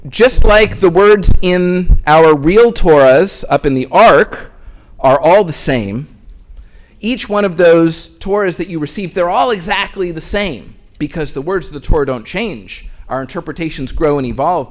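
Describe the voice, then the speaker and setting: A man's voice: fairly high pitch, unhurried. A man of the clergy leading a synagogue service, explaining things to the congregation in a conversational way. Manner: conversational and explanatory, with occasional fillers. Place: synagogue sanctuary.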